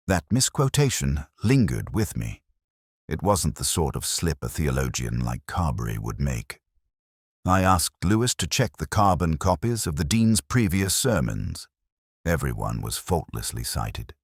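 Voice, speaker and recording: A man reading a scripted first-person detective story in a measured, brooding, literary manner. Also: very deep voice, breathy edge, no room sound, studio quality. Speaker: narrator.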